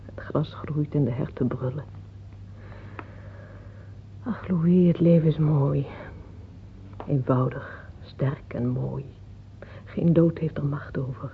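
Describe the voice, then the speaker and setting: A woman's voice: low-pitched, takes it slowly, pauses often, a little hiss or hum. An actress in a Dutch radio play, playing an earthy, plain, motherly middle-aged mistress who speaks frankly, tenderly and teasingly, without hurry. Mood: tender, soothing. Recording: muffled tinny old radio play recording.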